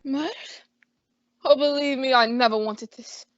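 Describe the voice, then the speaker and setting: A jittery, stammering man with something to hide, played by a young woman, in a high, strained voice. The sound is dry and somewhat dull, like a school radio play. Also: moderate pace, dry recording, close to an everyday voice. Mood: flustered. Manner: flustered and stammering. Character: nervous, flustered man hiding something. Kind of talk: old-time radio drama acting.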